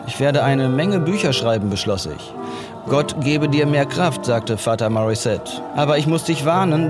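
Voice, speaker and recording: Actor as earnest male storyteller over loud music. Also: medium pitch, natural voice. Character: earnest writer recalling a boyhood talk with priest. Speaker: narrator. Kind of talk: scripted radio drama storytelling with dialogue.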